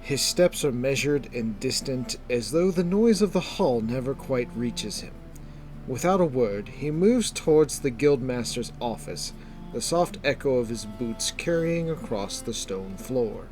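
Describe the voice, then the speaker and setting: A man narrating a scripted fantasy story in a measured, descriptive storytelling manner. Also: mid-range voice, expressive, a little mellow, faint music behind.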